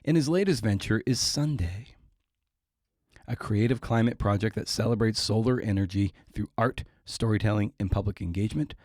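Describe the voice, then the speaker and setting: A man's voice: fairly low pitch, moderate pace, darkish tone, no echo, studio quality. A man hosting a podcast, delivering a casual, irreverent intro with self-mocking jokes. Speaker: podcast host.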